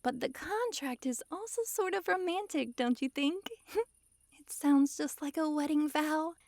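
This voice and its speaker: Woman voicing a high-pitched, possessive fox girl. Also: measured pace, dry recording, studio quality. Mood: pleased, romantic. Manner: sweetly controlling, giggly, swings between gushing and hushed coaxing. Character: possessive, lovestruck young-sounding fox-girl mage, dominant yet giddy. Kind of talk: intimate ASMR roleplay addressed to listener.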